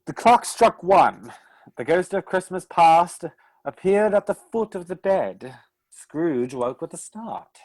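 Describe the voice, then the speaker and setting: Slow, dry storybook narrator. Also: male voice, high voice, lively intonation, a little mellow, no room sound, poor audio. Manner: indignant, exasperated asides. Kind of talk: radio play narration.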